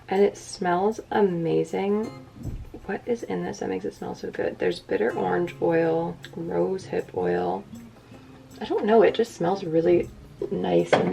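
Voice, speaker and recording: A young woman with a raspy voice, rambling casually and self-deprecatingly to the camera as a lifestyle vlogger. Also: on the low side, mellow.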